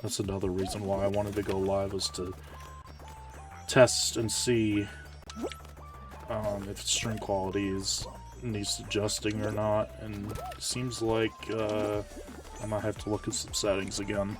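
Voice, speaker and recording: A male streamer chatting casually while playing a game, rambling and correcting himself, sounding tired and a little hoarse. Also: flat delivery, measured pace, far from the mic, over music. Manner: rambling, self-correcting, low-key. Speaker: Twitch streamer. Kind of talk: casual live-stream gaming chat.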